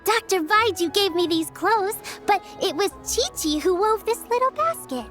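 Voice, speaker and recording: A woman's voice: very high voice, lively intonation, normal speed, fairly bright tone. A woman voicing a cheerful, caring little girl in a video game, sweet and earnest with a childlike voice. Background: music.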